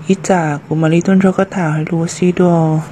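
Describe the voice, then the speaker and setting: A woman's voice: on the low side, steady tone, a little fast, background noise, lightly acted. A woman voicing a chatty village woman in a homemade cartoon, talking in a plain, conversational way and recorded on a phone. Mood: chatting. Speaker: voice actor. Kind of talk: amateur cartoon voice acting. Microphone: phone microphone.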